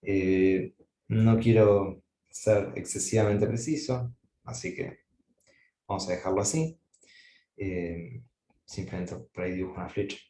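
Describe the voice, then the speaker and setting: A man's voice: on the low side, unhurried, pauses often, mellow, echoey room, slight background noise. A man giving an academic mathematics lecture, thinking aloud with frequent fillers and restarts.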